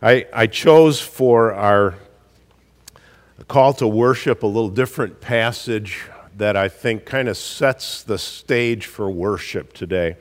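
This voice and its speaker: Hoarse, gruff-voiced pastor in a reverberant church. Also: male voice, fairly low pitch. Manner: slow, folksy, with frequent "uh" pauses. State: hoarse from a cold. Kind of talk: church service welcome and announcements. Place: church sanctuary. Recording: live church hall with long reverb.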